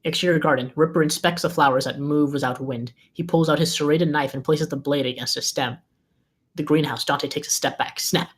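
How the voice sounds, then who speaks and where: A narrator reads screenplay directions aloud in a scripted delivery. The voice sits at a middling pitch. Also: fairly even intonation, a little fast, slightly rough.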